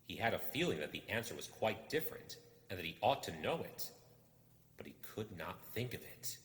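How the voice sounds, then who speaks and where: A man reading a story aloud as dramatic narration, expressive and suspenseful. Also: lowish voice, normal speed. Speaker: amateur audiobook reader. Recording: echoey hall-like reverb.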